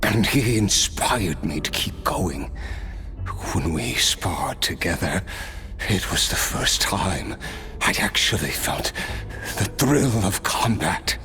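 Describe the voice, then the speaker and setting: A slow, low-voiced hardened immortal. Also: male voice, big pitch swings, pushed and bright, soft background music, full character voice. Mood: reflective. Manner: slow, reflective storytelling. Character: hardened, immortal man recalling his past. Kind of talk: video game voice acting.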